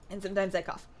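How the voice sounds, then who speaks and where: A woman's voice: mid-range voice, a little hiss or hum. Chatty woman streamer, tired voice. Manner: chatty, reads viewer questions aloud, frequent "like" and "um". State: voice a little tired after two hours of talking. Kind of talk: casual live-stream chat while gaming.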